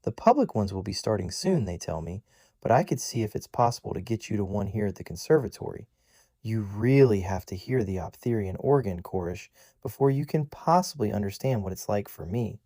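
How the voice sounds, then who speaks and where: A man voicing a bright, eager young woman, talking with gushing enthusiasm in an audiobook. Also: fairly low pitch, lively intonation, fairly quick, no echo, clean studio sound.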